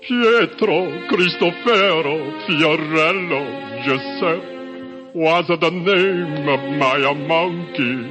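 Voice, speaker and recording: An actor playing a sad organ grinder with an Italian accent, reciting haltingly in plaintive broken English in a radio drama. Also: male voice, a little high. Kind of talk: old-time radio drama, rhyming recitation over music. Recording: dull old radio recording with music. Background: loud music.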